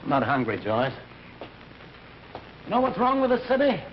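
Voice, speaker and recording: An actor with an American accent playing a weary, brooding police detective, terse and frustrated, in old-time radio drama style. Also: male voice, high voice, huge pitch swings, moderate pace. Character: weary, brooding male police detective, obsessed with his case. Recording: dull, noisy old radio recording.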